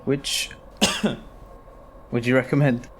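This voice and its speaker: A man's voice: mid-range voice, level tone, takes it slowly, a bit bright. Casting: male actor as sickly, anxious patient, mid-range voice, doubtful and questioning, amateur audio drama, slightly noisy recording. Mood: uneasy questioning. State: coughing, ailing. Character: dying man anxious to prolong his life, wary and questioning.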